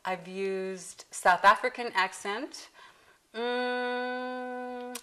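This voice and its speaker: A woman's voice: on the high side, little pitch movement, drawn-out words, pushed and bright, clear, resonant tone. A middle-aged woman, an accent coach, patiently explaining and demonstrating sounds in a casual interview.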